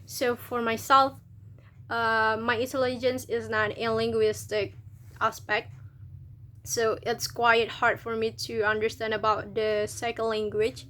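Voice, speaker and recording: A woman's voice: on the high side, flat delivery, measured pace, a bit bright, a little hiss or hum. A young woman from Indonesia with an Indonesian accent, a university student chatting to camera, hesitant and restarting as she searches for words. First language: local Indonesian language. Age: young adult. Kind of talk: casual video talk to camera.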